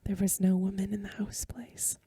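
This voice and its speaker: Breathy, very dark whispering ASMR woman. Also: medium pitch, level tone, dry recording, clean studio sound. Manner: gentle, unhurried, literary narration. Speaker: ASMR host reading a short story aloud. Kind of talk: soft-spoken ASMR bedtime story reading. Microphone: close microphone.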